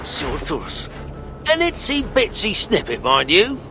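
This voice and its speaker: An actor playing a gruff, folksy tinkerer in a video game, speaking in an earthy, slangy, wry way. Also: male voice, high voice, wildly swooping pitch, slow, over music, full character voice. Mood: matter-of-fact, wry. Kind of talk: colloquial video game character acting.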